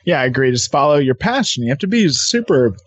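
Man, medium pitch, podcast guest, casual chatty delivery, dry close recording. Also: very animated, fast talker, dry recording. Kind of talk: casual conversational interview.

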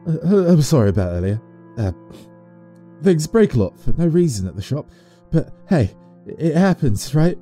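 A man's voice: on the high side, big pitch swings, normal speed, mellow, faint music behind. A man playing an anxious, needy young man in an audio horror drama, stammering and pleading. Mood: nervous, insistent. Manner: stammering, nervously chuckling, pleading.

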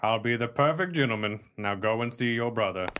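A man's voice: medium pitch, animated, a little fast, fairly bright tone, slight background noise, lightly acted. A man playing a brutal, bullying 1930s police detective in a pulp crime radio play, slangy and sneering. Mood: menacing.

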